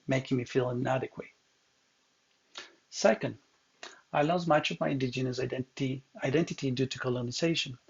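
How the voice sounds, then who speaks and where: A man reads a prepared presentation in a measured, earnest voice that slips on a word now and then. His voice is gravelly and a little husky. The recording is muffled and lo-fi. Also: mid-range voice. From Ecuador. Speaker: counseling student. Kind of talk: read presentation narration.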